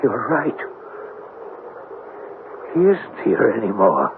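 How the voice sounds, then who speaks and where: An actor playing an anxious, folksy old farmer who rambles and stammers in a radio drama. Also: male voice, on the low side, huge pitch swings, takes it slowly, narrow, tinny audio, exaggerated voice. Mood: bewildered. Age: older. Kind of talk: 1970s radio drama acting. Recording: thin, band-limited old radio broadcast, faint noise.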